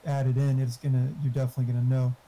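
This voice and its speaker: Informal male tech helper, muffled dark voice, faint background noise, chatty and explanatory. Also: medium pitch, monotone, rapid-fire. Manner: informal, explanatory, mildly profane. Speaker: friend giving tech advice. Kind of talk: casual chat.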